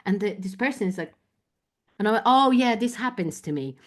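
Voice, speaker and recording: A woman from Poland whose first language is Polish, a guest talking reflectively in an interview and often pausing to find her words. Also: mid-range voice, lively intonation, noisy background. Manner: thoughtful, searching for words, many fillers. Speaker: guest, business coach.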